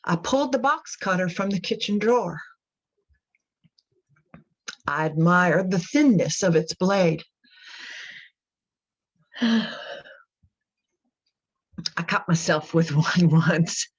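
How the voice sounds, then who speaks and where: Haunted religious mom confessing in a radio drama, real slow and halting, kinda muffled audio. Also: female voice, fairly low pitch, lively intonation, slightly rough, heavily acted. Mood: uneasy, defensive. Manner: halting, wistful, folksy, brittle. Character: devout, unsettled imprisoned mother recounting her crime.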